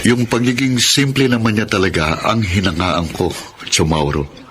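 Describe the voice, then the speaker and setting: An earnest young groom-to-be acted by a man in a radio drama, with a low, gentle voice. He speaks sincerely and respectfully, with faint noise behind him. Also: moderate pace, natural voice.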